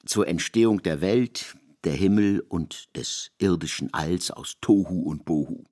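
A man narrating a literary audiobook in a measured, expressive way through long, elaborate sentences. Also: low voice.

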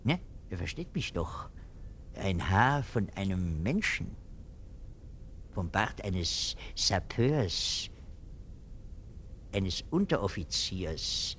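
An actor playing a pompous, sentimental and anxious army captain in a theatrical German radio drama. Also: male voice, low-pitched, sing-song, drawn-out words, long pauses, a bit bright, slight background noise, full character voice. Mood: sly taunting. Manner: theatrical, swinging from exclamation to insinuating murmur.

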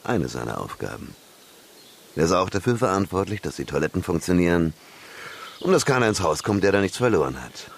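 Deep, gravelly, jovial crime boss. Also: male voice, sing-song, a little fast, slight background noise, exaggerated voice. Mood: evasive, smug. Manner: chuckling, expansive, self-assured. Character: jovial, slick red-light district boss, menacing underneath. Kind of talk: German radio drama acting.

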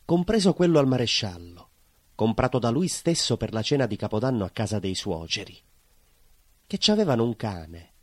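An actor playing a bitter, vengeful baker in an intimate theatrical monologue, brooding and dramatic. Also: male voice, medium pitch, very animated, dry recording, studio quality. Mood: wry, bitter. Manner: brooding, dramatic pauses and repetitions. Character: bitter southern Italian baker confessing to vengeful murder.

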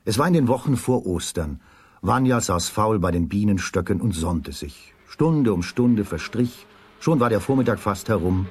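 A man's voice: fairly low pitch, slightly rough. A man narrating a children's fairy tale as scripted storytelling in a radio play.

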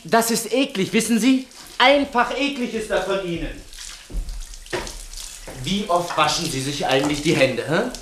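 A man's voice: high-pitched, measured pace, pauses often, a bit bright, a little hiss or hum. Echoey, impetuous teenage boy. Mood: impatient, disgusted. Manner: insistent, blunt, impetuous. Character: impatient, defiant teenage boy, stubbornly demanding. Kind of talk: 1960s German radio play acting. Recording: echoing room acoustic.

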